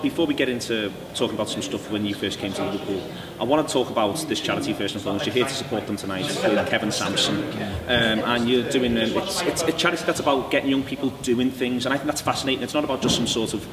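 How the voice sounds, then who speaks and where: A man hosting an interview, eager and rambling in his questions with fillers. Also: lowish voice. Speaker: podcast host. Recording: distant mic in an echoey noisy room.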